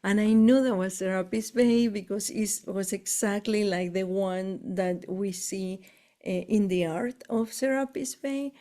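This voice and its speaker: A woman from Argentina with an Argentine Spanish accent and Spanish as her first language, talking casually in halting English, often searching for words, as she shares spiritual travel experiences. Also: mid-range voice, dark tone. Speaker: spiritual tour guide. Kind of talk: casual conversation.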